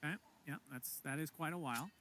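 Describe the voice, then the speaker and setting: A man calls a live esports match in quick, excited bursts and laughs between plays. His voice sits a little on the high side. Steady game noise runs underneath the broadcast. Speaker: play-by-play esports caster.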